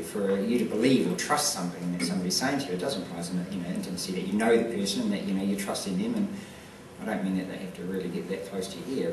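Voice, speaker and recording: Male event host, fast and halting, mic far off in a reverberant room with a faint hum. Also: on the low side. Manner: halting, filler-heavy delivery. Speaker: event host introducing the speaker. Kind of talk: formal introduction. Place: conference hall. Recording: echoey hall, distant mic, faint noise.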